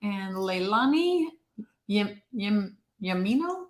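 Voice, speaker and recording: A woman's voice: mid-range voice, very animated, takes it slowly. A woman in her fifties co-hosting a casual livestream chat, greeting viewers and reading their comments aloud. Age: 50s. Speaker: co-host of a livestream chat show. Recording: echoey room.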